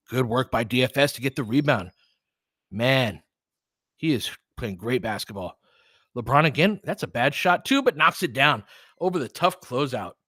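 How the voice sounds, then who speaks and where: A man's voice: mid-range voice, fairly bright tone, no room sound, clean studio sound. A man giving live basketball play-by-play and casual analysis as a candid, colloquial fan commentator who reacts openly and swears.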